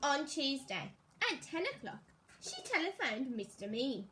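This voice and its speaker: A woman teacher reading a children's story aloud, expressively and deliberately, as if to young pupils. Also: high voice, big pitch swings, a bit bright, a little hiss or hum, low-quality recording.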